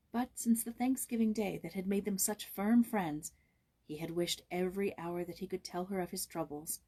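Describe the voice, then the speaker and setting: Expressive woman storyteller, slightly husky. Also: medium pitch. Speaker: audiobook narrator, voice actor. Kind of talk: expressive scripted storybook narration.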